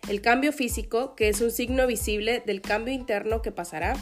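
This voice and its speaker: A woman's voice: on the high side, brisk. A woman presenter reading a scripted introductory narration. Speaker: show presenter. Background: music.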